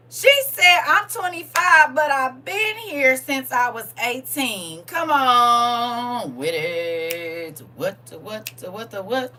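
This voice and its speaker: A woman's voice: mid-range voice, sharp tone, slight background noise. A woman in her forties hosting a livestream, chatting casually with viewers, reading their comments aloud and teasing them playfully with frequent laughter. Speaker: livestream host.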